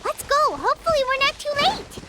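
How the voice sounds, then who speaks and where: A woman voicing a tiny, excitable childlike female companion creature in a video game, with a squeaky, babyish voice. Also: fairly quick, heavy background noise. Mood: earnest. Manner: exclamatory, refers to self in third person. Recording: loud game music underneath. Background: game music.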